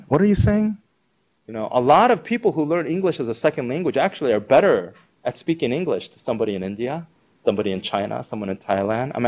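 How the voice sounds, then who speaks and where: A man giving an informal talk to a live audience, conversational and animated, acting out quoted reactions. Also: on the high side, dull audio. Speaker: speaker presenting a school project.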